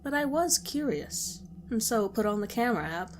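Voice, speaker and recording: A woman narrating a horror story in a slow, hushed voice that sometimes drops to a whisper. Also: on the high side, very animated, a little hiss or hum.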